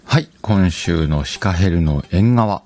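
A man's voice: low voice, animated, slow, a little mellow. A man hosting a casual solo talk show, chatting in short, conversational phrases. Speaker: host of an audio talk show. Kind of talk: casual solo radio-style chat.

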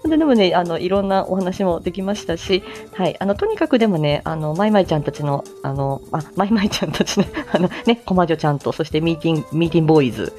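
A woman with a slightly low voice chats casually as the host of a morning stream. She is giggly and talkative, addressing her listeners informally, with music playing behind her. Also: lively intonation, quick pace, hardly any pauses, darkish tone. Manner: giggly, chatty. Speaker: online audio show host and voice performer. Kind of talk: casual morning livestream chat.

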